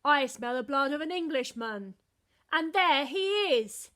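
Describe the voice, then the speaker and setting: A huge, hungry male giant roaring out threats, as a woman puts him on. Loud and menacing, with slow, stretched-out words in a storybook reading. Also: sits high, lively intonation, pitched above the performer's own voice, heavily acted.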